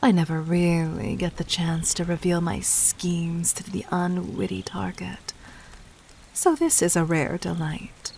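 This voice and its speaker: Woman acting a commanding demon queen, very slow, creaky edge, formal, intimate, cunning, gently dominant, roleplay audio. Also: fairly low pitch, steady tone, a little hiss or hum. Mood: poised, knowing. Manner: measured, formal, poised, intimate, slipping into breathy near-whispers. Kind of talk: scripted roleplay audio drama addressed to the listener.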